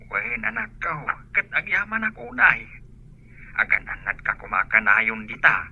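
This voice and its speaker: A man's voice: on the low side, big pitch swings, moderate pace, breathy edge, heavily acted. An actor playing a grateful, caring rural father in a radio drama, heard through a telephone filter. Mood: tender, grateful. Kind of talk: Ilocano radio drama acting. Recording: muffled, harsh phone-line effect.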